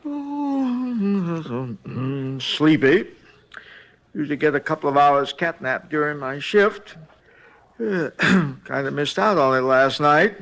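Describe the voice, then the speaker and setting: An actor playing a chatty, folksy hotel night clerk, rambling and hesitant, in a 1950s radio drama. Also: male voice, fairly high pitch, animated, slow pace, a bit bright, full character voice. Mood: sleepy, sociable.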